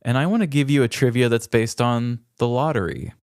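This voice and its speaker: A man's voice: medium pitch, fairly quick, a little mellow, clean tone, dry recording, clean studio sound. A man co-hosting a podcast in a casual, chatty style. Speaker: podcast co-host. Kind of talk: casual chat with trivia.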